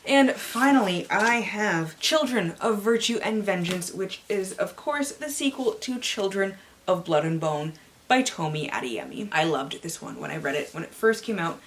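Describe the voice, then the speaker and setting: A woman's voice: medium pitch, lively intonation, moderate pace, a little hiss or hum. A woman hosting a book vlog, chatty and playful, with theatrical swings and joking asides to herself. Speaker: book vlogger and channel host. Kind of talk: casual chatty book haul vlog with hammed-up dramatic blurb readings.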